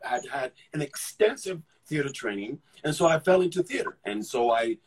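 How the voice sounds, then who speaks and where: A man from Ghana, an actor, talking about his career in a relaxed interview, often restarting and repeating words as he thinks. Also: on the high side, moderate pace, breathy edge, low-quality recording. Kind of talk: casual conversational storytelling.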